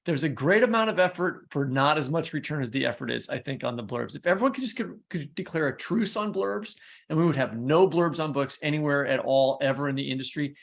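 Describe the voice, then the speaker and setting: A man's voice: on the high side, animated, brisk, creaky, few pauses. A man co-hosting a podcast, talking casually and informally with blunt, opinionated delivery. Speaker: writer and podcast co-host.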